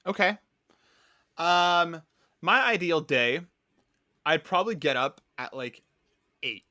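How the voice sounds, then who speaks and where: Young male podcast co-host, upbeat rambler, bright tone, dry close sound. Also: on the high side, expressive, slow pace, no room sound. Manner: rambling, upbeat storytelling with many fillers. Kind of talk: casual podcast chat.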